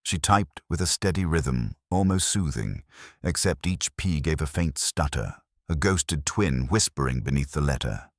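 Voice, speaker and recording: A man narrating a scripted detective story as an audiobook reader, with wry, deliberate phrasing. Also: very deep voice, animated, no echo, clean studio sound.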